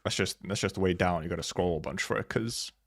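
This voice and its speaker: A man's voice: sits low, vocal fry, fairly bright tone. Casual, rambling male live streamer. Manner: rambling, halting, thinking aloud; casual swearing. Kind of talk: casual chat, reacting to viewers.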